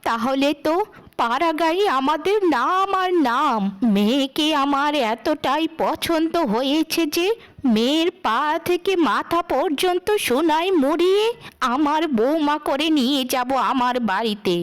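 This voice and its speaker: A woman's voice: high voice, lively intonation, measured pace. A woman playing an effusive, bossy older village matriarch in a children's cartoon. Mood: gushing delight. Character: gushing older village matriarch, groom's mother.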